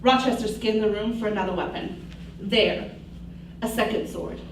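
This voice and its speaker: A woman's voice: mid-range voice, normal speed, a little hiss or hum. A woman co-hosting a live show, narrating a dramatic book reading in an amused, giggly way. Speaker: co-host. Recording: echoey room, distant mic.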